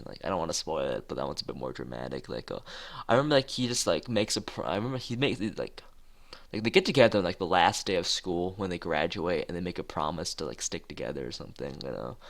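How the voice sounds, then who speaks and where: A man's voice: sits low, expressive, fast talker, vocal fry, fairly bright tone. A man streaming a visual novel, reading it aloud and rambling hesitantly in casual asides. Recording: music playing behind the voice.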